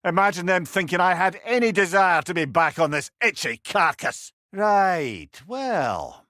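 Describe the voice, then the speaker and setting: A witty, fond old Scottish companion from a video game. His voice sits a little high and swings widely in pitch as he talks with wry, wordy eloquence. The sound is dry, free of any room. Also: sing-song, measured pace, bright, forceful tone, dry recording, full character voice. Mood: wry, conversational. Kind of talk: video game character dialogue.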